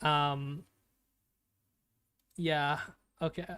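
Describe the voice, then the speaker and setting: A man chats on a live stream in a slightly high, smooth, clear voice. He talks slowly and nervously, tripping over his words and filling gaps with ums. His desktop mic sounds dry and close. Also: flat delivery, pushed and bright. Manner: nervous, stammering, many fillers. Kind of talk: casual live-stream chat. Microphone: desktop streaming mic with noise gate.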